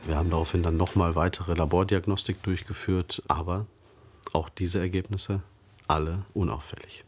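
A man gives an expert medical interview in a deep voice. He explains in a measured way, stopping briefly mid-sentence to choose his words. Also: steady tone, slight background noise. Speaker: hospital doctor interviewed.

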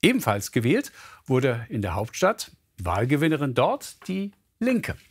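A man anchoring a regional TV news broadcast, reading with clear, measured emphasis. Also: medium pitch, huge pitch swings, no echo, clean studio sound. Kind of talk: scripted news presenting.

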